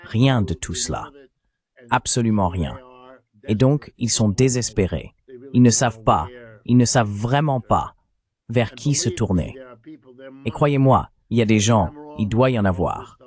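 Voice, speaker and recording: Male synthetic voice-over, lowish pitch, for translated panel commentary; explanatory and rhetorical. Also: big pitch swings, measured pace, long pauses, darkish tone. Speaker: political and economic commentator.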